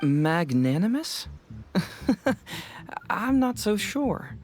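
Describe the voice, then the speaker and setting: A man's voice: high-pitched, sing-song, extremely slow, darkish tone, a little hiss or hum, full character voice. An actor playing a shrewd, breezy young male detective in a video game, with a teasing, self-assured manner. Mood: amused, sly. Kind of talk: video game cutscene acting.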